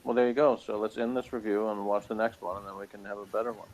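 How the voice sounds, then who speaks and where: A man's voice: on the low side, fairly even intonation, fast, noisy background. A man giving a casual review in conversation, blunt and dryly sarcastic, rambling as he talks. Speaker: guest reviewer of a TV episode.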